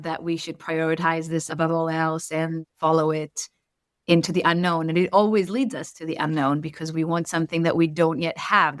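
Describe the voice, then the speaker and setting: A woman from Israel, a native Hebrew speaker with an Israeli accent, speaking earnestly as a coach in an interview, slowing to stress key points. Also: lowish voice, dry recording, clean studio sound. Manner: earnest, measured, slows for emphasis. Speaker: coach, podcast guest. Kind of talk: reflective interview talk. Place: home in Berlin.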